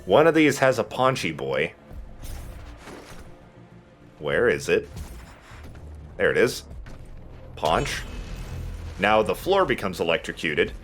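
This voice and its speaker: Animated low-voiced man doing gaming commentary. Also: slow pace, long pauses. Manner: joking, profane. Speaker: let's play host playing the game. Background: game music behind the voice.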